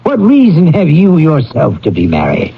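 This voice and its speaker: A raspy, bitter old miser who snaps and grumbles with contempt. His pitch swings widely from line to line. It is old radio drama, on a dull lo-fi recording. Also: wildly swooping pitch, moderate pace, exaggerated voice. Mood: scornful. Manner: scornful, snappish, grumbling. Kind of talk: classic radio drama acting.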